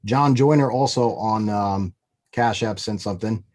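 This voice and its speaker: A man with a fairly low voice hosts a live music review stream, chatting loosely with plenty of fillers and a few swears. He is close to the mic in a dry, dead-sounding room. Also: measured pace, a little mellow, no room sound. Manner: casual, upbeat, sprinkles fillers. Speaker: host.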